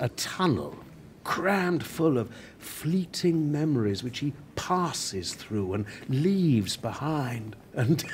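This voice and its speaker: A man acting a dying playwright in a radio play, grieving and wry, speaking haltingly with breathy murmurs. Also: mid-range voice, a little hiss or hum.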